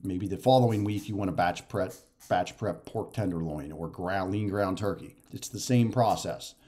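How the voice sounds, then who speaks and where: Low-voiced man explaining step by step. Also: normal speed, mellow. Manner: repetitive step-by-step explaining with frequent "okay?" and "right?" check-ins. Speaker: fitness and nutrition coach. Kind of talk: casual instructional explainer to camera. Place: living room at home.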